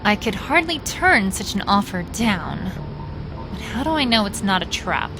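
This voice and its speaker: A woman's voice: medium pitch, big pitch swings, a little slow, poor audio. A woman playing a hard, suspicious human resistance leader in an audio drama, scornful and challenging. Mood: scornful, wary. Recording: dramatic music behind.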